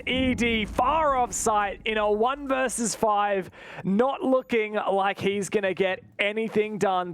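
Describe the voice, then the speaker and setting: A man's voice: sits high, a bit bright, a little hiss or hum. A man giving live play-by-play commentary on an esports match, with vivid, dramatic phrasing.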